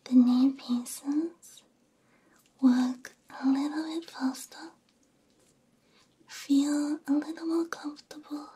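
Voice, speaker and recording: A woman doing a whispered ASMR roleplay, soothing and gently reassuring, close on a binaural microphone in short hushed phrases. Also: high-pitched, flat delivery, slow pace, pauses often. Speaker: VTuber ASMR host.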